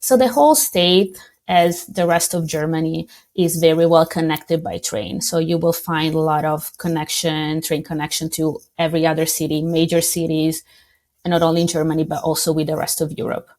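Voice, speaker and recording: A woman with a slightly low voice and talks through a relaxed interview. She hesitates, restarts and laughs lightly as she explains. The delivery is conversational. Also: moderate pace. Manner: hesitant with fillers, laughs often. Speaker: tourism board representative.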